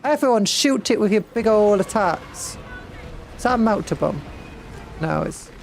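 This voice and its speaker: A chatty British woman commentates her game in a playful, excitable way. Game music plays faintly behind her voice. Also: mid-range voice, a little slow, gravelly. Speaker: streamer. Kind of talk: casual gameplay commentary.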